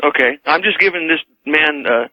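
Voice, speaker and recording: A man calling in to a radio show to debate, halting and repeating phrases for emphasis. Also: fairly high pitch, bright, forceful tone. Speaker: radio caller. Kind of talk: conversational debate. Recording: dull lo-fi radio call.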